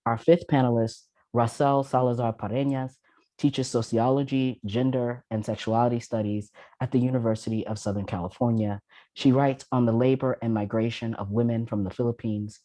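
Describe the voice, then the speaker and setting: A man's voice: mid-range voice, steady tone, mellow, no echo, low-quality recording. A man hosting a panel event, reading formal introductions of the speakers from notes with occasional filler sounds. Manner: measured, reads from notes with some filler "uh"s.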